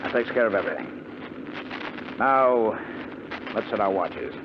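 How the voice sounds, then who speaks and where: An actor playing a calm, menacing hijacking gang leader with a deliberate, commanding delivery in 1950s radio drama style. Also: male voice, on the low side. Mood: brisk, commanding. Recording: noisy, muffled 1950 radio recording.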